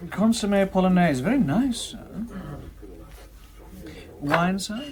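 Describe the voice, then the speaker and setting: Radio drama: obsequious male club waiter, higher register, lively intonation. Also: slow pace. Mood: deferential. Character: deferential waiter at a gentlemen's club.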